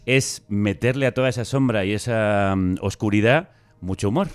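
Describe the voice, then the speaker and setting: A man's voice: lowish voice, moderate pace, clean tone. A man hosting a podcast in a casual, playful way. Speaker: podcast host.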